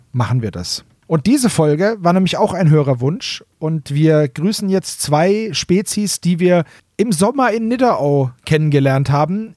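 A man's voice: on the high side, dark tone, no room sound, clean studio sound. A man chatting casually as a podcast co-host in a playful, ironic way. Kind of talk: casual chat.

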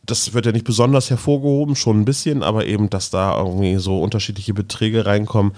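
A man's voice: fairly low pitch, steady tone, quick pace, darkish tone. A man chatting casually as a podcast host, often hesitating as he searches for the right word. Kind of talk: casual chat.

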